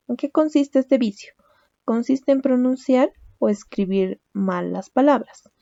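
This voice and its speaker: A woman's voice: a little high, creaky, warm tone, dry recording, highs cut off. A young woman teaching a language lesson, explaining step by step and often checking with a questioning "¿no?". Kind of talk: recorded lecture.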